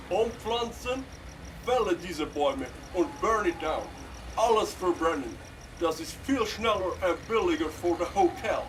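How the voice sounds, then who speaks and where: A man's voice: high voice, animated, slow, recorded from a distance, slight background noise, rough recording. A man playing a ruthless, pompous businessman speech in a children's audio drama. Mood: gloating. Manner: commanding, pompous, gloating. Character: ruthless male businessman, boss ordering forest felled.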